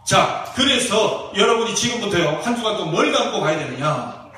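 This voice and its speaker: A male pastor with a regional Korean accent preaches in a notably high voice. His emphatic exhortations and questions ring through a very echoey church, picked up from a distant mic with faint noise. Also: very animated, pushed and bright. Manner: emphatic, exhorting, with rhetorical questions and drawn-out key phrases. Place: church sanctuary during a service.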